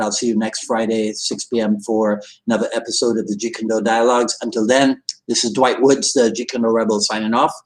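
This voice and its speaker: A man's voice: medium pitch, low-quality recording. A man hosting a martial arts podcast, talking in an upbeat, chatty way and joking with his listeners. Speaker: podcast host. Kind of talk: casual interview talk and sign-off.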